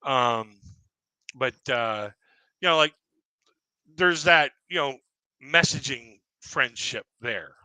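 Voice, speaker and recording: A man hosts a livestream chat in a strikingly bright, forceful voice that sounds dry and close, with no room around it. He rambles easily and leans on fillers, keeping the talk loose and friendly in tone. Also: medium pitch, expressive, slow, pauses often, smooth, no room sound, poor audio. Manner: rambling, heavy on fillers like "you know, like".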